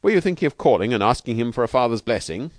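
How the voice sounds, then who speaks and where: A man narrator puts on a tough, good-hearted trainer from a novel. He talks in brash street slang with emphatic swings of pitch, close and dry. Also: big pitch swings, fast talker, no echo, lightly acted. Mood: blunt, warning.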